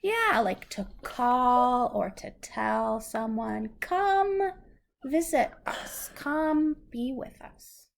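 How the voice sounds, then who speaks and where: Female storyteller for young children: high-ish voice, very measured pace, patient and simple in explanation. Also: very animated, creaky. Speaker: adult reading aloud to a young child. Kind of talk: slow read-aloud storytelling with questions to a child.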